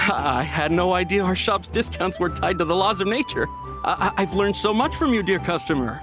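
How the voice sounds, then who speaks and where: A man's voice: sits high, animated, moderate pace, music in the background. An actor playing a nervous, obsequious male shopkeeper in a video game, stammering and evasive with polite, ingratiating phrasing. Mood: flattering, flustered. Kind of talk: video game character acting.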